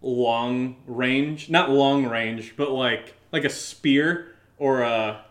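A man's voice: mid-range voice, fairly even intonation, very slow, fairly bright tone, roomy sound. A man co-hosting a show with casual, joking banter, thinking aloud in a rambling, hesitant way. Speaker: show co-host.

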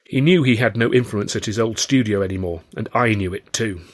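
A man's voice: fairly low pitch, brisk. A man reading a scripted first-person story in a wry, literary manner. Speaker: author and audiobook narrator.

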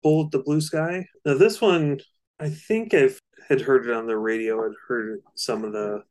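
A man co-hosting a casual discussion of music, speaking in hesitant, halting phrases. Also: medium pitch, darkish tone. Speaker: music discussion podcast co-host.

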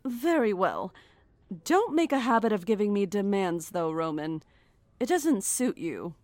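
A woman's voice: medium pitch, very animated, a little slow, a little mellow. A woman playing a coolly commanding, mocking captor in a horror audio drama, composed and formal. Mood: condescending, taunting.

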